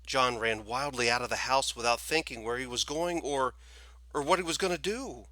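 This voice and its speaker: A man reading a children's story aloud as expressive scripted narration. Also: mid-range voice, moderate pace, no echo. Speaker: storybook reader, teacher.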